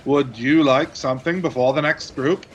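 An actor playing a polite, dryly teasing train attendant in a radio play, with a courteous manner. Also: male voice, mid-range voice, steady tone, normal speed, highs cut off, a little hiss or hum, natural voice.

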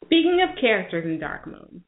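A woman's voice: mid-range voice, sing-song, fairly quick. Woman interviewer on dull lo-fi audio. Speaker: interview host. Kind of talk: casual interview questions.

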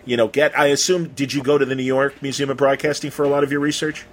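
A man's voice: mid-range voice, flat delivery, fast. A middle-aged man hosting a casual interview in an informal, rambling way, circling around his questions. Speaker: radio host interviewing an author.

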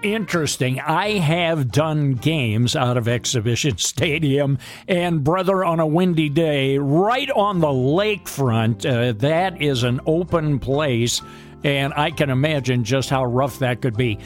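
Measured male sports radio host. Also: on the high side, soft background music. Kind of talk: radio sports talk with scripted intros.

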